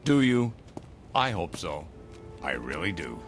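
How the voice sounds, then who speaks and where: An actor playing an eccentric older gentleman, a secretive mentor with a grandiloquent, fatherly manner in a theatrical radio drama. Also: deep voice, very animated, takes it slowly, over music, full character voice. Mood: genial, knowing. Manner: grandiloquent, avuncular, emphatic. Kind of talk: theatrical audio drama acting.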